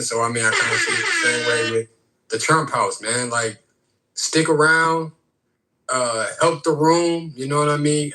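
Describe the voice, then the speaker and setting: A man's voice: mid-range voice, bright, forceful tone, poor audio. A man speaking up in a live audio chat room, laid-back and slangy, with frequent tag questions. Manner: slangy, laid-back, keeps saying you know what I mean. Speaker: room participant.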